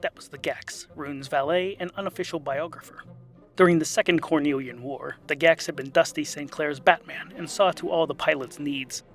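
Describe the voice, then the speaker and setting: Guy narrating a silly fantasy tale, wry, crisp forceful voice, some music behind. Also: on the high side, steady tone, normal speed. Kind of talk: scripted narration of comic fantasy.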